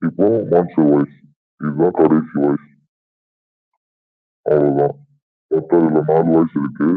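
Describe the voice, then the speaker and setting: A man's voice: level tone, normal speed, dry recording. A man speaking through a voice changer's monster preset, demonstrating the product. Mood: flat, instructive. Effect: electronic pitch-lowering voice changer. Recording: muffled voice-changer audio, music behind.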